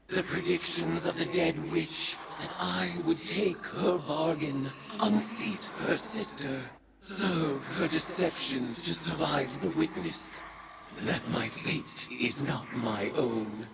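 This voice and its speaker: Casting: vengeful female dark god in a game, very gravelly, slow and incantatory, loud ambient noise behind. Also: deep voice, heavily acted. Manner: solemn, incantatory, archaic phrasing. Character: grim, vengeful woman mystic turned dark god. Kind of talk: video game character acting.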